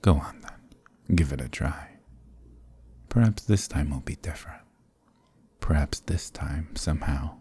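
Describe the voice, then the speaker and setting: Sadistic vampire lord, ASMR roleplay: possessive, silky menace, extremely breathy and husky, dark-toned. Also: male voice, extremely low pitch, no echo, heavily acted. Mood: taunting. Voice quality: breathy, gravelly. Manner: slow, menacing, mockingly tender purr. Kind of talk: ASMR roleplay audio drama.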